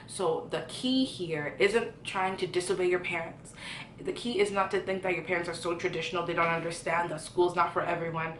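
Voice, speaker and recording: A woman's voice: lowish voice, steady tone, fairly quick, slight background noise. A young woman from Ghana giving a casual, earnest devotional talk to viewers in a conversational way. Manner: earnest, conversational, poses questions to listeners. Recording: echoey room.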